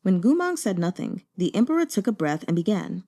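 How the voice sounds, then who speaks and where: A woman with a dark, soft-edged tone narrates fiction. Her delivery is measured and dramatic, with a very lively rise and fall across a middling register. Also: mid-range voice. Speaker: audiobook narrator. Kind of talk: expressive scripted narration of a novel.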